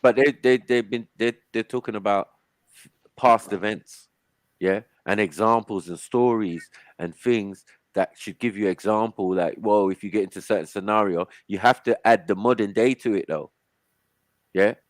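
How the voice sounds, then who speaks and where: A man's voice: fairly low pitch, moderate pace, dry recording. A man hosting a heated casual panel discussion, who talks over others and keeps saying "hold on" to keep the floor. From Africa. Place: online call.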